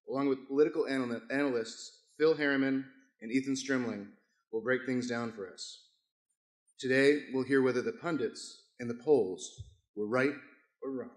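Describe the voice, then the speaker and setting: A hoarse-voiced man stands at a podium microphone and hosts a morning business forum. He reads announcements in a formal, scripted way, tripping on a word here and there. His voice rings through a large, echoey event hall. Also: mid-range voice. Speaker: chamber of commerce president hosting a business forum. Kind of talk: reading sponsor announcements at a breakfast event.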